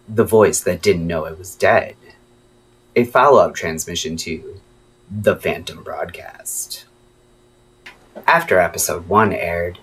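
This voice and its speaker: A man hosting a late-night radio horror show, narrating a scripted story in a measured, ominous way with dramatic pauses. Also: lowish voice, breathy edge, poor audio. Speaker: radio-style host and storyteller.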